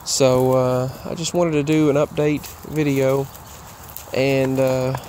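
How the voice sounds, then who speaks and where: Halting man over outdoor traffic noise. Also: mid-range voice, flat delivery, mellow. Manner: halting, meandering, trailing off and repeating himself. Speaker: vlogger. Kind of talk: casual rambling update vlog while walking. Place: outdoors, walking in a grassy yard near roads. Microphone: earbuds microphone with a phone. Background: passing traffic.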